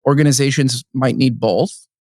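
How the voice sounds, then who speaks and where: A man's voice: mid-range voice, fairly even intonation, normal speed, a little mellow, no echo. Chatty man podcast host, dry sound. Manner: chatty, with restarts and hedges. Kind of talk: casual interview chat. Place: conference venue.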